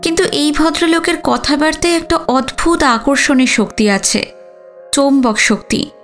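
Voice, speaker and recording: A woman's voice: high voice, moderate pace. A woman reading a literary story as a dramatic audiobook narration. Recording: music under the voice. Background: background music.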